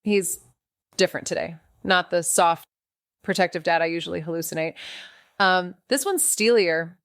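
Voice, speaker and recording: Actress as smooth, sardonic young female investigator. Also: fairly low pitch, moderate pace, no room sound, studio quality, natural voice. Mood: wry, uneasy. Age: young adult. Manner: wry, sarcastic. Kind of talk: noir audio drama narration and dialogue.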